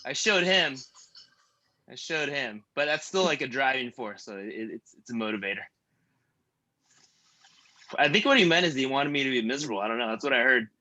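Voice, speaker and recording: A man in his thirties leading a stretch class, joking between calm breathing cues. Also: medium pitch, brisk, pauses often, dull audio. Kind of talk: guided stretching class with casual chat.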